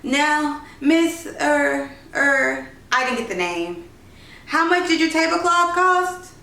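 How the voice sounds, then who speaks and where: A woman's voice: sits high, animated, slow pace, creaky, bright, forceful tone, voice pushed up, exaggerated voice. A woman voicing a kind, genteel lady traveller, gracious and amused, in a storybook reading. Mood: conciliatory. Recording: roomy, distant, noisy home recording.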